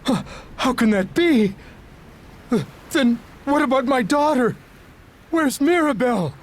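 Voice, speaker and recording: A man's voice: very high voice, expressive, measured pace, full character voice. An actor playing an earnest, hesitant middle-aged town doctor and worried father in a video game cutscene. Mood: distressed.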